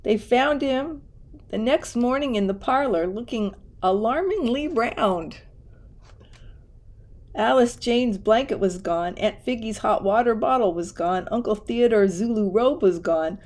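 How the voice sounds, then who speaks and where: A woman's voice: on the high side, lively intonation, measured pace, darkish tone. Woman reading a storybook aloud. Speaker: storybook reader. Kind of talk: expressive picture-book read-aloud with casual asides.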